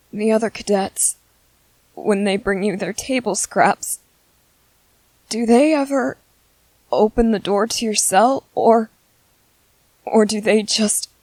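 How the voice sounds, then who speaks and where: A gentle young male soldier, played by a woman in an ASMR audio roleplay, speaks softly and takes his time. His delivery is earnest and apologetic, full of hesitant pauses. The sound is dry, with no room around the voice. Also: a little high, no echo. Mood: hesitant, concerned. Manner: soft-spoken, hesitant, earnest, with halting pauses.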